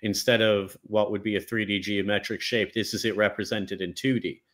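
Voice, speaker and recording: A man with a lowish voice co-hosts a relaxed podcast chat about game lore. He lays out his points patiently and methodically, giving examples as he goes. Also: moderate pace. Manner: explanatory, walks through ideas step by step. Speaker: podcast co-host. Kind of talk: casual discussion of game lore.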